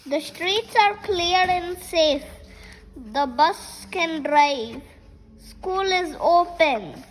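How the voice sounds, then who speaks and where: A six-year-old child reading picture books aloud in a halting, word-by-word way, as the host of a story time. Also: high voice, a little hiss or hum, low-quality recording. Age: child, 6 years old.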